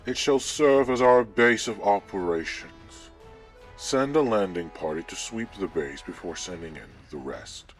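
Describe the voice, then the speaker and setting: A man playing a menacing, commanding Sith lord in a sci-fi audio drama, speaking in a measured, imperious way with a cold, taunting edge. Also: lowish voice, lively intonation. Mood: stern, commanding. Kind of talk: scripted sci-fi audio roleplay acting. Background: background music.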